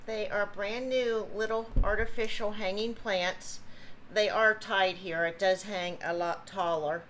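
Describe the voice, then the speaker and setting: A woman's voice: medium pitch, fairly even intonation, a little slow, a bit bright, a little hiss or hum, low-quality recording. A woman in her fifties, chatty, explaining items as she unpacks a pallet at a home table. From Ohio, USA. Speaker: reseller vlogger. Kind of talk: casual unboxing chat. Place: home room with table, indoors.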